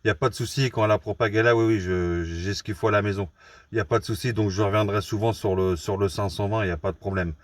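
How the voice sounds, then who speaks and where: A man's voice: fairly low pitch, steady tone, quick pace, no room sound. A man from Normandy chatting casually and politely as an amateur radio operator, often repeating his phrases. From Normandy, France. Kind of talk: casual radio contact chat. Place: mobile radio station.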